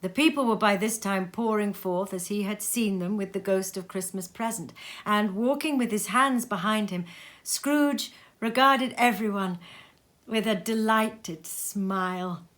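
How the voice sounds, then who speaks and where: A woman reads a classic tale aloud from her sitting room, lively and expressive. Her voice is bright and clear, with a crisp edge. The pitch sits in a middle range. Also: measured pace. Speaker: reader. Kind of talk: audiobook reading of a classic tale.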